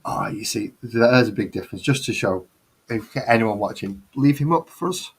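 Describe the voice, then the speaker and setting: This is a Mancunian man hosting a relaxed hobby chat. His voice is slightly husky and sits low. He talks in a chatty, self-mocking way and grumbles for fun. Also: moderate pace. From northern England. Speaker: host.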